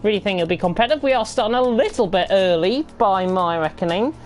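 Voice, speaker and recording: A woman commentating live on an online racing league, croaky with a flu and rambling with frequent self-corrections. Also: mid-range voice, animated, fast, darkish tone, soft background music. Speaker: race commentator.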